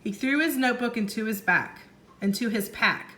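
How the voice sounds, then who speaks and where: A woman teacher reading a story aloud to young children in an expressive way, pausing to explain and ask questions. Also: a little high. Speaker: first grade teacher.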